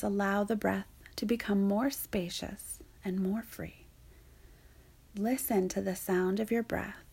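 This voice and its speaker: A woman softly guiding a yoga nidra meditation in a soothing, breathy way, leaving long pauses. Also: mid-range voice. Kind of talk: guided meditation narration.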